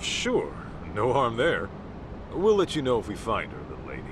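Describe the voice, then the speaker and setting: A man playing an easygoing, gruff middle-aged private detective in a video game, talking in a casual way. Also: mid-range voice, wildly swooping pitch, normal speed, noisy background. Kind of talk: video game cutscene acting.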